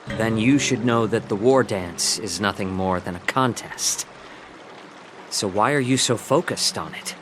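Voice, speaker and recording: An actor playing a polite, shrewd man with veiled cynicism, speaking in a measured, understated way in a video game. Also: fairly low pitch, noisy background, lightly acted. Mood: polite, probing. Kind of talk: English video game voice acting.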